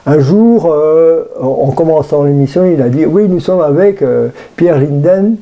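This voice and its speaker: Man, Brussels accent, around 60, deep dark tone, halting casual talk. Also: rough recording. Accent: Belgian French, Brussels. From Belgium. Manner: hesitant, many fillers and restarts. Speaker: radio guest. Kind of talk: casual radio interview.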